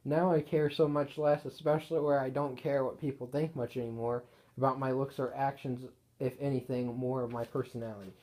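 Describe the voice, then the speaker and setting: A man reading his own written story aloud in a casual way, halting and correcting himself as he goes. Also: medium pitch, little pitch movement, mellow, rough recording.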